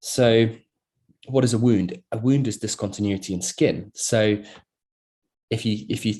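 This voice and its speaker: A middle-aged man from South Africa, a plastic surgeon giving a relaxed online lecture over a video call, with many fillers and restarts. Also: fairly low pitch, a little slow, husky. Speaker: plastic surgeon presenting a webinar. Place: at work, speaking into a computer.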